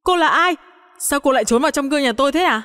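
A woman's voice: high-pitched, animated, normal speed, fairly bright tone, pitched above the performer's own voice. A woman voicing a bewildered, feisty grandmother in a young body, scolding and exclaiming indignantly. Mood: shrill indignation. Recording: long hall-like reverb on the voice.

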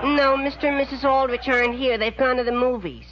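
A man's voice: very high voice, fairly even intonation, fairly quick, highs cut off, a crowd in the background. An actor playing a naive, gluttonous teenage boy in 1940s radio comedy, whiny, on a noisy old recording. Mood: flustered. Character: Homer, gluttonous, slow-witted good-natured teenage boy.

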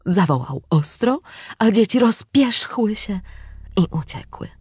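A woman narrating a scripted tale dramatically, deliberate and expressive, with pauses and hushed phrase endings. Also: medium pitch, husky, no room sound, studio quality. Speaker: storybook narrator.

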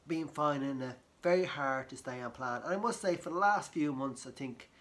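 Croaky man chatting. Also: medium pitch, normal speed, fairly bright tone. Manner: rambling, many fillers and restarts. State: croaky from a cold. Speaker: vlogger. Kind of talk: casual chat to camera. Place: living room, on a sofa.

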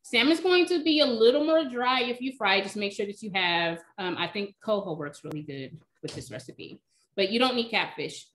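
A woman in her thirties explains her cooking in an easy, conversational way, often saying she eyeballs everything. She speaks over a webcam from a home kitchen, at a middle pitch. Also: normal speed, slight background noise. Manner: relaxed, chatty, explains by eye. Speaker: cooking demonstrator, recipe blogger. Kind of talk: casual live Q&A. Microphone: video call, webcam.

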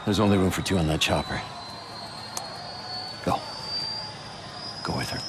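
An actor playing a gruff, loyal, stubborn biker survivor in a video game, with a rough, casual manner. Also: male voice, low-pitched, measured pace, background noise. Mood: resigned, stoic. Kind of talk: cinematic video game acting.

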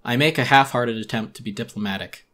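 Male horror audiobook narrator: medium pitch, world-weary, brooding, dramatic first-person read. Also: fast talker, pushed and bright.

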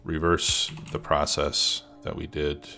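A man's voice: a bass voice, level tone, takes it slowly, vocal fry, pushed and bright. A man with a stuffed-up cold, casually commenting on his gameplay as a let's play host, thinking aloud with many fillers. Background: background music and keyboard typing.

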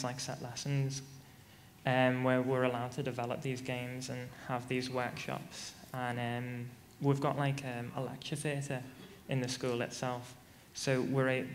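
Teenage student with a handheld mic. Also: male voice, medium pitch, a little hiss or hum. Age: teens. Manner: hesitant, many ums and likes. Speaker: student panelist. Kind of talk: panel conversation answers. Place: large conference stage. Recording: reverberant conference hall.

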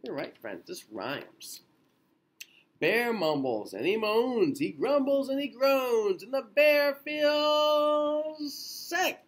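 A man in his thirties reading a children's picture book aloud in an expressive, sing-song way with drawn-out phrases. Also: very high voice. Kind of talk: children's storybook read-aloud. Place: small indoor room.

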